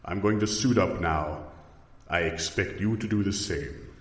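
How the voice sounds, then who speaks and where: A man's voice: deep voice, a little slow. A man playing a proud, solemn rodent duelist with grave, formal mock-archaic speech in improvised audio comedy. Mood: solemn, disdainful. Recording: echoey hall with long reverb.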